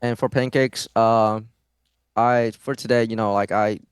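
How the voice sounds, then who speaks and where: A man's voice: on the low side, fairly even intonation, unhurried, smooth, no echo, studio quality. A young man, a pro esports player, answering interview questions in a hesitant way, with many fillers and restarts. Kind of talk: post-match interview answers.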